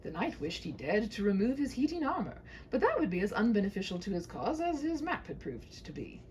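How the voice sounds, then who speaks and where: A woman reads a comic fairy tale aloud as storyteller. Her pitch moves animatedly and her delivery is theatrical and mock-grand, over faint background noise. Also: sing-song, brisk, a little mellow. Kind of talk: humorous scripted story narration.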